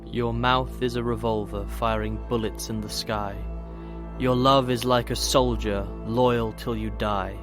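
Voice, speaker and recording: A man giving a measured, scripted spoken recitation of lyrical verse. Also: medium pitch, steady tone. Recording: prominent guitar music behind.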